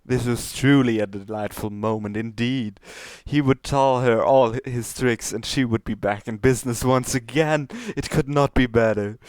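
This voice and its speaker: A man's voice: lowish voice, a little mellow, no room sound. A man reading fan fiction as an amateur narrator, moving between casual talk and storytelling.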